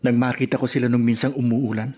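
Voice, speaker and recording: Radio drama actor as troubled student son, Filipino accent, breathy husky tone. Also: male voice, medium pitch, flat delivery, fast talker, warm tone, lightly acted. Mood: troubled, confiding. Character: troubled, hesitant young son, loyal to his mother.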